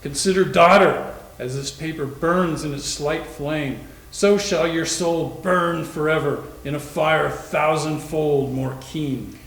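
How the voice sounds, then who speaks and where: Theatrical, declamatory male actor reading out a pious patriarch mockingly, slightly high voice, echoey room. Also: takes it slowly, fairly bright tone. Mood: preachy, solemn. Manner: declamatory, melodramatic. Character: stern, pious father's sermon, read mockingly by young man.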